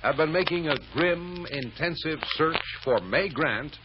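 A man's voice: fairly high pitch, lively intonation, a little slow. A man narrating an old radio drama serial as its announcer, in a dramatic storytelling style. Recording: muffled, noisy old radio recording.

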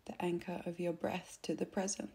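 A woman guiding a meditation in a soft, gentle, unhurried way, with phrase endings that turn breathy. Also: fairly low pitch, level tone. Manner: gentle, unhurried, instructing softly with pauses. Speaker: meditation guide. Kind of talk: soothing guided meditation narration.

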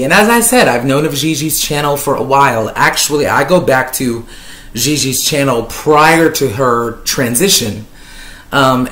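A woman from Lebanon in her thirties, talking casually to her webcam from a sofa, chatty and wordy with a dry, ironic streak and plenty of fillers. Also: a bass voice. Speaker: YouTuber. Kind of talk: casual commentary vlog. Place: living room, on a sofa. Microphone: webcam at desk distance. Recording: echoey room.

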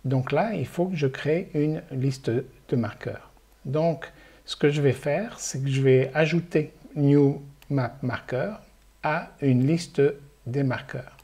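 An older man teaching programming, calmly explaining each step of a screen-recorded coding tutorial. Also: a little high, takes it slowly, husky.

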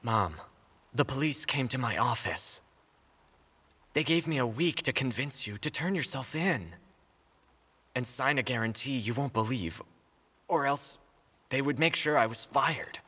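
A man playing a worried young adult son in a stage drama, pleading under pressure in a husky voice. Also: mid-range voice, expressive, a little slow, pauses often, pushed and bright, heavily acted. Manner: pleading, strained. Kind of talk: stage drama acting, English dub. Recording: reverberant stage hall.

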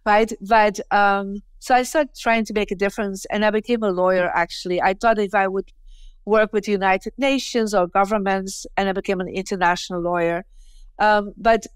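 A middle-aged woman speaks over a video call with a smooth, clear voice and a mid-range pitch. She talks in a chatty, easy way, dropping "you know" and "right?" as she explains. The sound is dry and close, picked up by earphones in a room at home. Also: dry recording. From Belgium. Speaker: guest, feng shui teacher. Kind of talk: conversational interview answers. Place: bright indoor room at home. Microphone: earphone headset on a video call.

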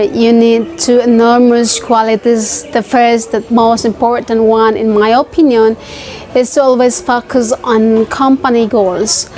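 Woman in her 20s, Indonesian accent, slightly high voice, measured list-like delivery, lapel mic, open-air pavilion. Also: darkish tone. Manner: careful, list-like, rehearsed delivery. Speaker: job-seeking student. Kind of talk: recited self-presentation for a video CV. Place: open-air wooden pavilion among trees.

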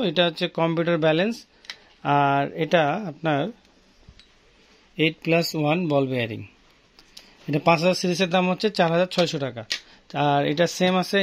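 A man with a Bangladeshi accent presents products informally in a fairly high voice. He explains as a seller does, often repeating a phrase. It is a handheld phone recording in a small shop, with light background noise. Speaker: fishing tackle seller. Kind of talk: informal product showcase for customers. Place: small indoor fishing tackle shop. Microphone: handheld phone near the speaker. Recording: noisy phone recording with clicks.

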